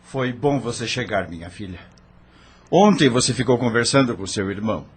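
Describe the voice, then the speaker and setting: A man's voice: medium pitch, animated, normal speed, a crowd in the background, lightly acted. A man playing a stern middle-aged father and doctor in an audio drama, questioning sharply. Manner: stern, interrogating. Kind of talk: radio drama acting.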